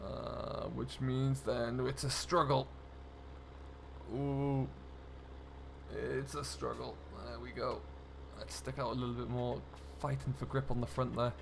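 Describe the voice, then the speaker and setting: A man's voice: medium pitch, flat delivery, takes it slowly, creaky. Easygoing man over engine noise. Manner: easygoing, chatty, thinking aloud. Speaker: gaming video host. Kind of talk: casual narrated game role-play commentary.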